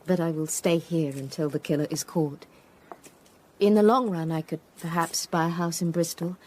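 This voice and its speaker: An actress playing a grieving, gentle Tudor widow in a period radio drama, speaking with measured formality. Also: female voice, deep voice, steady tone, poor audio. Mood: tender, grieving.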